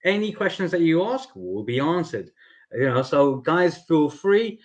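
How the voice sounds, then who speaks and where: A British man hosting a live stream, his voice sitting a little high. He is upbeat and talks straight to his viewers, inviting questions. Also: very animated, measured pace. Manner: upbeat, addresses viewers directly. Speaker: host of a mortgage adviser group live stream.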